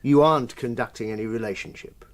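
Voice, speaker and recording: A man acting in a naturalistic radio drama as a probing police inspector, measured, dry and quietly doubtful. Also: mid-range voice, natural voice. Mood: sceptical, probing.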